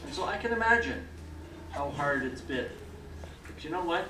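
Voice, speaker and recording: A man's voice: a little high, expressive, moderate pace. An older man from Canada whose first language is French, delivering an encouraging speech to a room full of new citizens. He keeps checking in with his listeners. The sound is roomy and picked up from a distance, with noise behind him. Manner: encouraging, repeatedly checks in with "okay?". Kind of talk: ceremonial speech. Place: large hall with an audience. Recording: echoey hall, mic far off, noisy.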